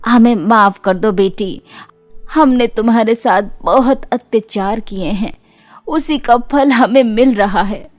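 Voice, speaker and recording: Slow, pleading remorseful aunt played by a woman. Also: on the high side, faint music behind, voice pushed down. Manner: pleading, apologetic.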